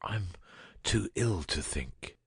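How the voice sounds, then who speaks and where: A man plays a cunning detective in an audiobook drama. The voice is low and husky, with lively swings in pitch, recorded close and dry. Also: takes it slowly, no room sound, heavily acted. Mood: feeble, agonized. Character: cunning male detective, first feigning a dying illness, later brisk.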